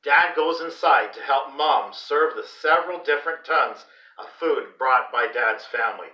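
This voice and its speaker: A man reading a children's story aloud in an expressive, deliberate, dramatic way. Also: medium pitch. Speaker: read-aloud host for a school class. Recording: roomy echo, distant microphone.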